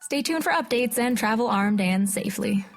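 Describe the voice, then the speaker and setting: A woman's voice: a little high, expressive, normal speed, soft background music. A woman voicing a courteous space-station announcer reading formal travel notices. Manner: polite, formal. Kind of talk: scripted public announcement.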